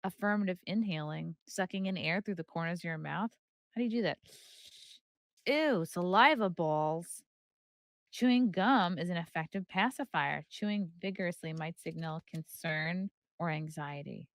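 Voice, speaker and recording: A woman's voice: lowish voice, moderate pace, no echo. A woman hosting a podcast, chatting casually and reading aloud from a book with playful, irreverent, flippant asides. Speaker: podcast host.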